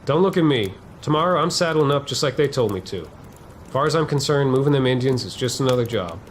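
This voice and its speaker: Actor as obedient seasoned cavalryman, male, medium pitch, dismissive, folksy western speech, historical drama, light ambient noise. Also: expressive, quick pace, close to an everyday voice. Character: obedient, unsympathetic veteran cavalry private.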